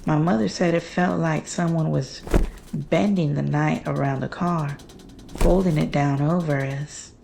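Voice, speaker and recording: A haunted woman played by an actress tells a horror tale in a lowish voice with heavy fry. She moves slowly through short, hushed, halting phrases, over faint background noise. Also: fairly even intonation. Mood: haunted, dread. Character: Jane, haunted woman recounting her family's monster encounter. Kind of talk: slow scripted horror storytelling.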